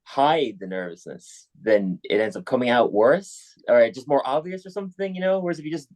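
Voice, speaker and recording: A young man with an Irish accent, a comedian guest on a podcast, talking in a relaxed, chatty way with lots of filler and easy laughs. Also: medium pitch, fairly quick. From Ireland. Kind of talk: casual podcast interview.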